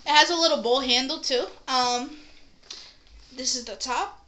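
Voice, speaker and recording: Young woman, high-pitched, casual unboxing chat, playful sing-song touches, faint background noise. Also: a little slow, sharp tone. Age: young adult. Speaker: vlogger showing a shopping haul. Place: small indoor room. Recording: noisy room with handling clicks.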